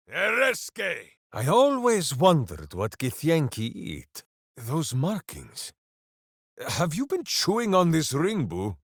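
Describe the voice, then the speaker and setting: Casting: hearty game berserker, earnest and grandiose, unhurried delivery with big pitch swings. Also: male voice, creaky, fairly bright tone, no echo, clean studio sound, heavily acted. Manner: grandiose, speaks of himself in third person. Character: boisterous, big-hearted male berserker warrior, earnest and simple-minded. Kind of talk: fantasy video game voice acting.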